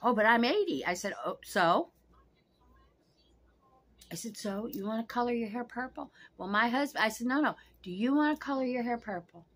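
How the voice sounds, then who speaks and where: A woman's voice: fairly high pitch, expressive, moderate pace. Blunt foul-mouthed woman in her sixties. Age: 60s. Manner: blunt, frank and profane, talks straight to camera. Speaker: vlogger. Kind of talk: casual vlog monologue. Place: small home room, seated on a couch.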